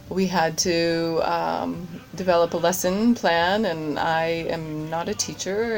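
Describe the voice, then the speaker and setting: A woman with a fairly low voice answers interview questions at an unhurried pace. She stops often, restarts her sentences and fills the gaps with ums. Soft music plays faintly behind her. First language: English. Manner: hesitant, many fillers and restarts. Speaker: course participant, general manager.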